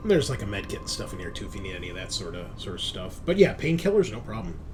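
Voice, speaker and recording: A man's voice: fairly low pitch, big pitch swings, quick pace, a little mellow, a little hiss or hum. A man running a tabletop sci-fi game as game master, describing scenes conversationally and correcting himself as he improvises.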